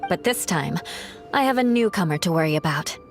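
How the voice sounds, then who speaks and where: A woman's voice: fairly low pitch, lively intonation, fairly quick. An actress playing a proud, self-reliant young noblewoman warrior in a fantasy game, poised, formal and haughty. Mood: self-assured. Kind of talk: fantasy video game dialogue. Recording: game audio with faint music.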